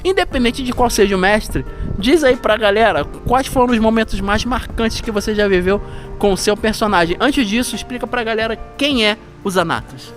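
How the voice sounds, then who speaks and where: A man's voice: sits high, lively intonation, moderate pace, a bit bright, soft background music. A man with a Brazilian Portuguese accent hosting a casual chat with a friend in a building's stairwell, speaking upbeat and straight to his viewers. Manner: upbeat, addresses viewers directly. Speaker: channel host.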